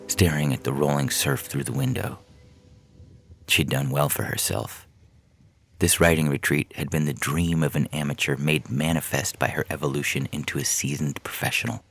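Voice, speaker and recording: A man's voice: very deep voice, vocal fry, a bit bright. A man reading scripted fiction aloud in a dramatic narration style, as a podcast story reader. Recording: music bed behind the voice. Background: music.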